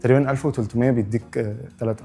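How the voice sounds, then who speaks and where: A man's voice: mid-range voice, steady tone, rapid-fire, warm tone, faint music behind. A man with an Egyptian accent giving short, casual answers as a game-show contestant. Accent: Egyptian Arabic.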